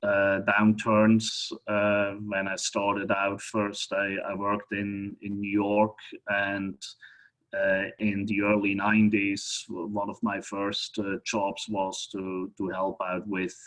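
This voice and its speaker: A man's voice: on the low side, completely flat delivery, slow, fairly bright tone, poor audio. A middle-aged man from Switzerland giving a webinar presentation as a guest speaker, talking hesitantly with many fillers and restarts.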